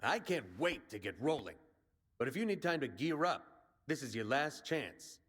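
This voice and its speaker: A man's voice: medium pitch, very animated, normal speed, fairly bright tone, husky. An actor playing a brash male resistance commander in a video game, rallying and plain-spoken like a soldier. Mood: determined.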